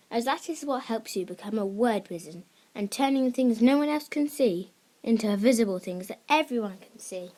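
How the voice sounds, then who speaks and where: A woman with a fairly high voice presents a scripted lesson for young listeners. She teaches creative writing in simple, encouraging language, addressing children directly as their host. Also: a little mellow. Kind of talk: scripted instructional narration for children.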